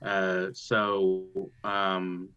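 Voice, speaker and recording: Male host, lowish bright tense voice, dull dry lo-fi sound, encouraging. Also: completely flat delivery, extremely slow, smooth, no echo. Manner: encouraging, loose phrasing with fillers. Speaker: show host. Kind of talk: interview hosting.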